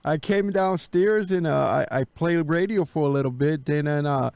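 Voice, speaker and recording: A man with plenty of vocal fry talks in a dry indoor space with little room sound. He is a hobby radio operator from the United States, casual and easygoing, feeling his way through each sentence. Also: fairly high pitch, normal speed, a little mellow, no room sound. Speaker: amateur radio operator, video host.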